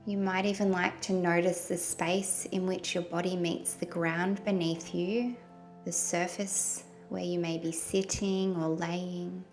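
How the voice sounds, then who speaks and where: A woman leading a guided meditation in a gentle, soothing manner with long pauses between phrases. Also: fairly low pitch, vocal fry. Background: soft background music.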